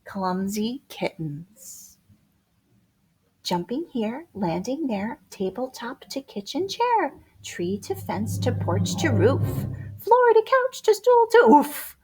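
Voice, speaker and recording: A woman reading a rhyming children's picture book aloud in a playful, expressive way, drawing out words for dramatic emphasis. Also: on the high side, slow, a little hiss or hum, low-quality recording. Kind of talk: animated rhyming storybook read-aloud for young children. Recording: music behind the voice. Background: music.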